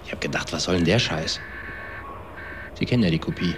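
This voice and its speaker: A man's voice: deep voice, a little fast, noisy background, natural voice. An actor playing a cynical, coarse man recounting events in a naturalistic radio drama, in clipped, sardonic slang. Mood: matter-of-fact.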